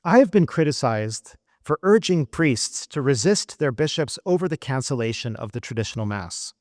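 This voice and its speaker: American guy mid-career giving a big speech, really animated pitch, earnest, dry close sound. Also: dry recording. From United States. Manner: earnest, emphatic delivery with measured pauses. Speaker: keynote speaker. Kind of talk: formal speech at a gala. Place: event hall.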